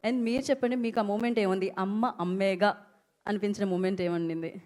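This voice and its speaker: A woman's voice: mid-range voice, steady tone, a little fast, darkish tone. A woman with a Telugu Indian accent hosting a casual stage interview, chatty and prompting, mixing Telugu with English words. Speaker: event host. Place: film promotion event.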